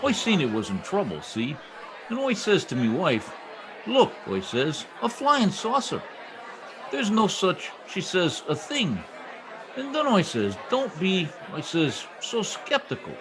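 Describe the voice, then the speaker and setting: A man's voice: high-pitched, huge pitch swings, a little slow, a crowd in the background, heavily acted, poor audio. A man playing a blustery, boastful small-town husband in old-time radio comedy, with folksy exclamations. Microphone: video call microphone. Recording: video call recording.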